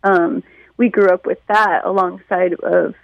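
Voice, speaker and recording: An American woman from Detroit, a musician, answering interview questions in a casual, animated storytelling way with a creaky voice. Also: lowish voice, measured pace, no room sound, dull audio. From Detroit, United States. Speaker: musician and songwriter being interviewed.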